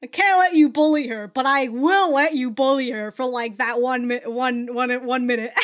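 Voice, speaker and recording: Woman, high voice, casual reaction commentary, slangy, sweary, exclamatory. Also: moderate pace. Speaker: reaction video host. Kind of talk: casual reaction commentary to an anime, reading subtitles aloud.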